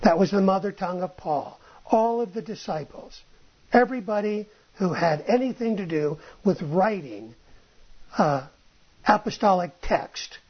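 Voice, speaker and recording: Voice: mid-range voice, lively intonation, measured pace, breathy edge. A Bible teacher talking informally, often restarting phrases and checking in with a questioning "right?". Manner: ends points with a questioning "right?"; restarts phrases, thinks aloud. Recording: dull lo-fi sound.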